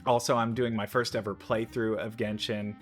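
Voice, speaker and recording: A man's voice: on the low side, level tone, moderate pace, soft background music. A man giving casual reaction commentary as a video creator watching game trailers.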